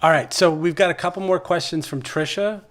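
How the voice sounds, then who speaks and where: A man's voice: fairly high pitch, a little fast, fairly bright tone. A man hosting a podcast interview, putting questions to his guests in an informal, slightly tentative way. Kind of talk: casual interview, relaying questions.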